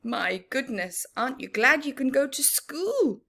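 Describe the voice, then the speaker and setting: A woman reading a picture book aloud to young children, interactive and expressive, with a sing-song, questioning manner. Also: high-pitched, measured pace, vocal fry. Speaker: children's story reader and teacher.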